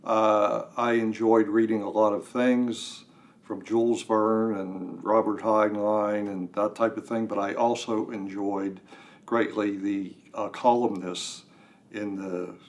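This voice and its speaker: Older male interview guest, lowish pitch, halting casual talk with dry self-mockery. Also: little pitch movement. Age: 60s or older. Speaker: guest writer. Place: radio studio.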